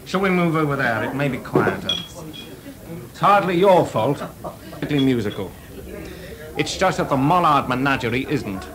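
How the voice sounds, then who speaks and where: Actor playing a wry young composer at a party. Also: male voice, medium pitch, lively intonation, normal speed, pauses often, lightly acted. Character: composer Delius, young man, wry and witty. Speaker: lead actor. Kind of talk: 1980s radio drama. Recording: party crowd behind.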